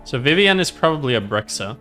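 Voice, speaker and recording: Male game streamer: casual reactions, bright forward tone, middle pitch, faint music behind. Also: sing-song, quick pace. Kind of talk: casual gameplay commentary.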